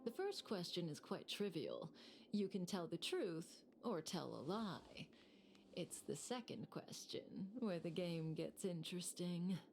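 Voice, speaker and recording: Villainess, fantasy game: poised, coy fatalist, low unhurried voice, faint noise behind. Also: female voice, big pitch swings, creaky, a little mellow, full character voice. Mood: coy, teasing. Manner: unhurried, teasing, composed. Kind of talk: video game character acting.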